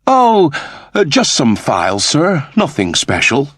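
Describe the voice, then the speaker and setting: A man's voice: fairly high pitch, huge pitch swings, takes it slowly, fairly bright tone, no room sound, clean studio sound, voice pushed up, heavily acted. A man voicing an anxious, deferential male servant android in an audiobook, flustered and stammering. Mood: flustered, evasive.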